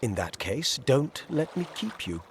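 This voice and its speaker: A man's voice: medium pitch, big pitch swings, measured pace, a little hiss or hum. A man voicing a pompous, officious small-town official in a video game, formal and wordy. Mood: self-important.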